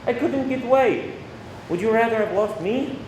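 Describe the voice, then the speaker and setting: A man playing an anxious, defensive husband in a radio play, pleading and halting. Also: very high-pitched, darkish tone. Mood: defensive, agitated. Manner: pleading, halting, self-justifying. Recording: echoey room with faint noise.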